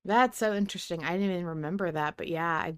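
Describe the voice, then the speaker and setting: A woman's voice: lowish voice, fast talker, fairly bright tone, clear, resonant tone, no room sound, studio quality. A woman co-hosting a fan podcast, talking casually in a reflective, enthusiastic way. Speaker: podcast host.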